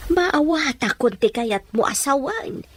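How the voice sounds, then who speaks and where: A woman's voice: high voice, expressive, measured pace. A woman playing a concerned, probing mother in an audio drama. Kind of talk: Ilocano audio drama dialogue.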